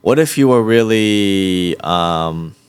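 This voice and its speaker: A man's voice: lowish voice, lively intonation, slow pace, clear, resonant tone, no room sound. A man chatting casually with an AI voice assistant, rambling and repeating himself as he rephrases his questions. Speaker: host.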